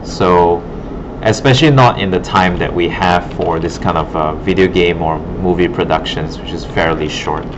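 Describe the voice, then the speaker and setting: A low, deep male voice walks a class through a demo in a casual, think-aloud way. The sound is dull and muffled, with clear background hum from the classroom. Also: moderate pace, hardly any pauses. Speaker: digital art instructor. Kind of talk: informal classroom demonstration, narrating while working. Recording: muffled, broken audio with loud room hum.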